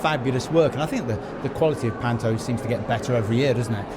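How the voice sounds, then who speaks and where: A man's voice: medium pitch, very fast. A man hosting a podcast, chatting casually as he interviews guests at a busy event venue. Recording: noisy busy venue ambience.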